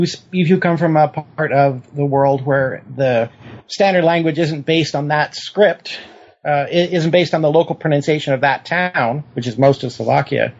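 A man's voice: a little high, muffled recording. A man speaking as an interviewed historian, explaining things precisely in a conversational academic style and checking that he is understood. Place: lives in New Zealand.

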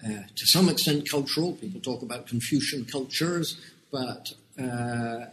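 A man with a British accent, answering interview questions as an education researcher in a measured, deliberate way. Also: medium pitch, a bit bright, slight background noise, poor audio. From United Kingdom.

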